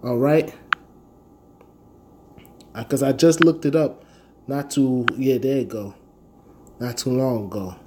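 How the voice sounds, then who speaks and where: A man's voice: medium pitch, takes it slowly, pauses often, noisy background. An American man delivering a fervent, informal sermon in a confrontational, slangy manner, repeating phrases for stress. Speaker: street-style preacher and Bible teacher.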